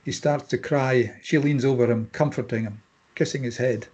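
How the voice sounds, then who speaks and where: A man's voice: mid-range voice, fairly even intonation, normal speed, darkish tone, breathy edge. A man narrating a screenplay, reading scene headings and stage directions at a table read.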